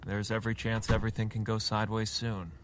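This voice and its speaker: A man playing a calm, dry-witted gentleman hero, speaking politely and with measured understatement in a radio drama. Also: lowish voice, a little hiss or hum, close to an everyday voice. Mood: dry, commanding.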